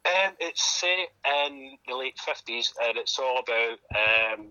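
Bright-voiced man with a cold. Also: mid-range voice, unhurried, dry recording, highs cut off. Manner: laid-back, sweary, enthusiastic. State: nursing a cold, snuffly. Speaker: podcast co-host. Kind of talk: casual chat. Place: at home, lying in bed.

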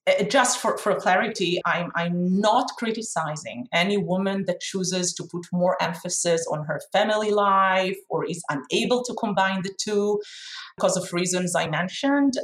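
Israeli woman, frank, in an echoey room. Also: fairly low pitch. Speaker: chief executive being interviewed. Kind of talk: interview answers. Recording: roomy, echoey sound.

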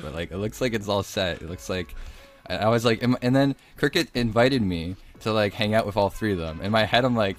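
A young man around twenty co-hosting a podcast, telling things in a casual, slangy, halting way. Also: lowish voice. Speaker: podcast co-host. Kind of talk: casual, rambling storytelling.

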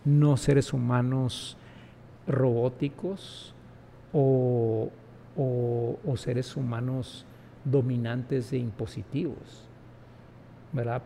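Guy in his 40s, interview guest, emphatic, keeps tossing in tag questions, kinda raspy fry. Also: medium pitch, mellow, a little hiss or hum. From Guatemala. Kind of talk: reflective conversational interview.

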